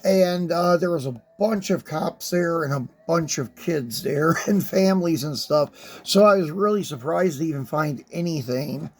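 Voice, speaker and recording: A man with a fairly high voice that is hoarse and worn from a sinus infection. He chats in a loose, rambling way, full of ums and uhs, as he hosts a die-cast collector unboxing. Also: measured pace. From West Virginia, USA. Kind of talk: casual unboxing chat.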